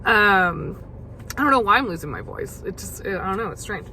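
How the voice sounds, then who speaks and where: A young woman hosting a casual solo podcast, chatty and full of fillers, her voice gravelly as she loses it. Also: mid-range voice, lively intonation, moderate pace, pushed and bright, a little hiss or hum, low-quality recording. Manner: chatty, many fillers and restarts. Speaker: podcast host.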